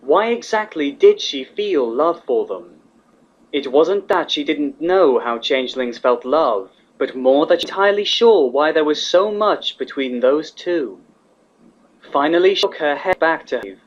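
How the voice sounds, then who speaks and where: A woman reading fan fiction as the narrator, in an expressive scripted storytelling style. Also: sits low, unhurried. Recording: slightly dull, muffled audio.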